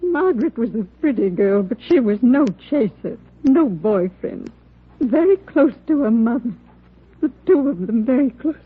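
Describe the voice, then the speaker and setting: A grieving fragile neighbor lady, slow and halting, highish voice, muffled old radio. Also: lively intonation, breathy edge. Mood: subdued, sorrowful. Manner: halting, mournful, repeating herself. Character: grieving, fragile female neighbor giving a police statement. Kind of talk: 1950s radio drama acting.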